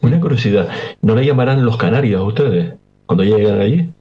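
Male radio host, easygoing interviewer with a lowish voice, muffled recording. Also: expressive, brisk.

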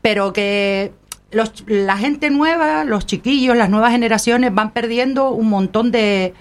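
A woman with a Canary Islands accent, a panel guest speaking in an earnest, lively way. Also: on the high side, unhurried. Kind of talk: casual panel chat.

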